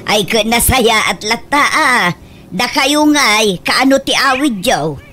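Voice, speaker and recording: A woman playing an excitable, doting village mother in a radio comedy, with an exclamatory, giggly, gushing delivery. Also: fairly high pitch, slow pace, soft background music, heavily acted. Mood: excited, delighted. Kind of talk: comedy radio drama acting.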